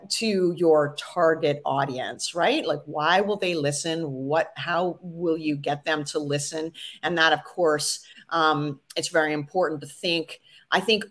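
A woman's voice: sits low, fairly bright tone. A middle-aged woman giving earnest podcasting advice in an interview. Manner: earnest, advising, with laughs. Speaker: podcast guest, podcasting coach. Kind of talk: interview answers.

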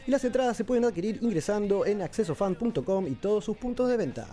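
A man hosting a radio show, reading music news in an Argentine Spanish accent with a steady announcer delivery. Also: sits high, quick pace.

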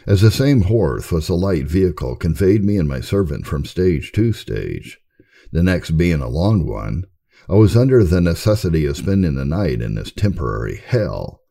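Very deep, gravelly man reading history. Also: big pitch swings, normal speed, mellow. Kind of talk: scripted audiobook-style narration of history.

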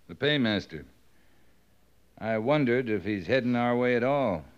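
A man's voice: medium pitch, lively intonation, a little slow, smooth, close to an everyday voice. A man playing a dutiful American cavalry captain in a 1950s radio western, terse, understated and dry. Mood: dryly concerned. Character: laconic, dutiful cavalry captain who stands up for his men. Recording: muffled old 1950s radio recording.

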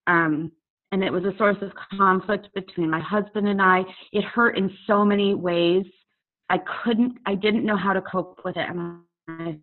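Tinny-sounding woman in her forties, reflective. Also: fairly low pitch. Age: 40s. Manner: candid, reflective, halting with fillers. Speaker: poet and author guest. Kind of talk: video call interview. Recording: tinny phone-like audio over a video call.

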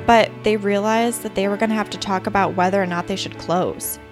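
Woman, medium pitch, podcast host, interviewer and narrator, conversational delivery. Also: fairly even intonation, a little fast, music in the background.

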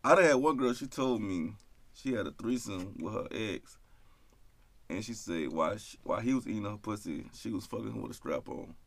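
A man's voice: fairly low pitch, animated, brisk. A young man co-hosting a casual chat, crude and boisterous as he tells stories. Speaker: podcast co-host.

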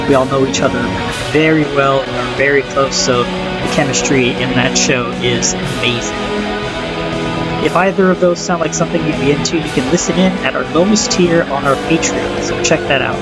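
A man's voice: medium pitch, moderate pace. A young man, a tired podcast host and game master, reading announcements in a rambling way with many fillers. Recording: loud guitar music behind the voice.

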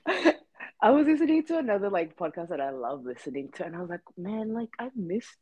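A woman's voice: medium pitch, sing-song, fairly quick, darkish tone, husky, no room sound. A woman in her twenties from Rwanda, co-hosting a casual podcast chat and rambling with many fillers and restarts. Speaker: podcast co-host.

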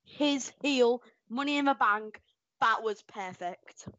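A woman co-hosting a casual podcast, excitable and quick to laugh. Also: a little high, dry recording, highs cut off. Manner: excitable, laughs often, animated outbursts. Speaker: podcast co-host. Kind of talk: casual chat ranking wrestlers.

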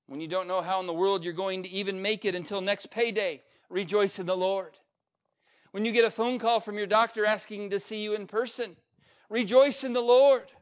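A male pastor preaching a sermon, building up to a repeated refrain and swinging between loud peaks and quiet, low asides. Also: fairly even intonation, normal speed. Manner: emphatic preacher with swings in pitch and loudness, repetitive refrain.

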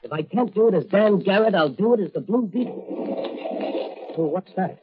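A man's voice: high voice, animated, moderate pace, slightly rough, heavily acted. An actor playing an earnest, determined young rookie policeman in a 1940s radio drama, heard on a muffled old transcription. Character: young earnest rookie cop, brave hero.

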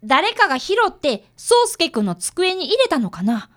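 A woman playing a bright, polite fifth-grade boy apprentice detective in a radio drama. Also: high-pitched, sing-song, moderate pace. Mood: explaining. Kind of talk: radio drama acting with first-person narration.

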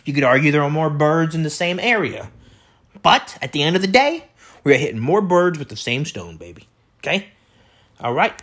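A man with a loud, projecting voice rambling through a comedic solo monologue as a podcast host, repeating himself and tossing out rhetorical questions. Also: fairly high pitch, big pitch swings, normal speed. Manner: repetitive, riffing with catchphrases and rhetorical questions. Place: indoor room beside an open window.

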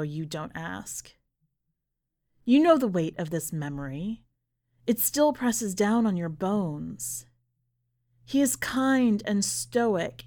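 A woman reading a literary short story aloud in a measured, deliberate way. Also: mid-range voice, a little mellow. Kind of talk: scripted literary narration.

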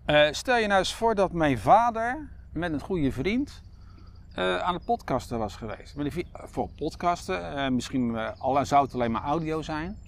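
A middle-aged man chatting casually as a podcast co-host, telling things in a halting, rambling way. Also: on the high side, expressive, vocal fry. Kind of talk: casual chat.

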